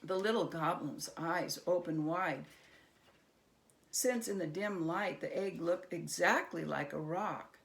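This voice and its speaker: A woman narrating a children's story as an expressive storyteller. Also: lowish voice, slow, creaky.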